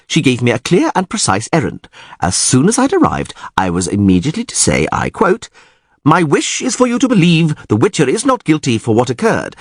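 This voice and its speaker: A man voicing a vain, excitable male bard in a fantasy storybook, theatrical and exclamatory. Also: on the high side, slightly rough, no room sound, clean studio sound, pitched above the performer's own voice, heavily acted.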